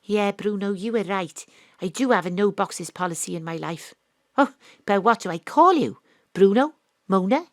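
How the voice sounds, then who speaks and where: A woman's voice: mid-range voice, lively intonation, moderate pace, dry recording, studio quality, natural voice. A woman voicing a brash private eye who was once a Welsh rugby star, narrating her own noir story with a wry tone. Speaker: narrator and detective. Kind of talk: noir audio drama narration. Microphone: home studio mic.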